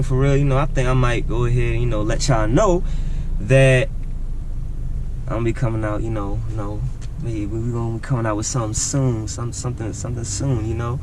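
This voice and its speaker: A man with a Baltimore accent, talking casually and full of slang as he walks the city for a vlog. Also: medium pitch. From East Baltimore. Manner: laid-back slangy chatter. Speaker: vlogger. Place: city street outdoors. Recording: loud street noise.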